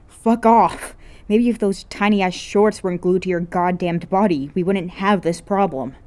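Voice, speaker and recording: A woman's voice: mid-range voice, measured pace, darkish tone, lightly acted. A woman as a grumpy, curt teenage boy. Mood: exasperated. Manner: curt, grumbling, sarcastic. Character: grumpy, sweary, exasperated teenage boy with soft heart. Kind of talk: acted dialogue in fan fiction reading.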